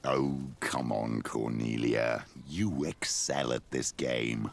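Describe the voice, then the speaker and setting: Very deep, gravelly old scheming clergyman. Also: steady tone, slow, full character voice. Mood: smug. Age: older. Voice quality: gravelly, husky. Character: sly, ambitious, guilt-ridden old male clergyman. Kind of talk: video game cutscene acting.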